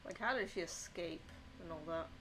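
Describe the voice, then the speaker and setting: A woman's voice: lowish voice, moderate pace, pushed and bright, slight background noise, low-quality recording. A woman giving casual, musing gameplay commentary in an offhand way. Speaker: gaming streamer.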